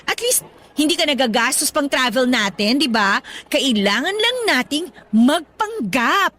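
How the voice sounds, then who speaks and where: A woman's voice: high-pitched, big pitch swings, a little slow, pushed and bright, slight background noise, exaggerated voice. An actress playing a pushy, giddy young social-climber girlfriend with a Filipino accent, wheedling and girlish in a radio drama. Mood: excited, persuasive. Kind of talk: Tagalog radio drama acting.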